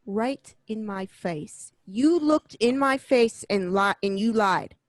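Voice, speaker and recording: A woman's voice: medium pitch, animated, slow pace, no room sound, studio quality. A woman playing an angry, betrayed male mob boss in an amateur read of a film script. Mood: wounded, angry.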